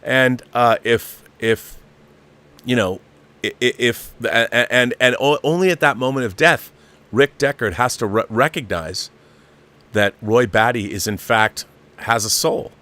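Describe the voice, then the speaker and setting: A man's voice: mid-range voice, measured pace, bright, forceful tone. A middle-aged or older man hosting a live show, giving opinionated, casual film commentary and repeating phrases for emphasis.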